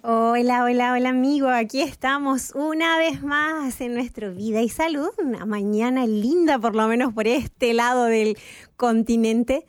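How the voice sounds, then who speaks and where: A woman's voice: on the high side, unhurried, no room sound, faint music behind. A woman from Chile hosting a radio health show, chatty and full of fillers and restarts.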